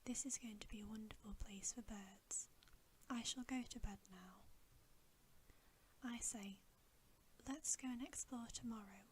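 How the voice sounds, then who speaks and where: A woman reading a bedtime story aloud in a breathy, unvoiced ASMR whisper, gentle and soothing. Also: on the high side, unhurried, long pauses, a little hiss or hum, rough recording. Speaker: ASMR reader.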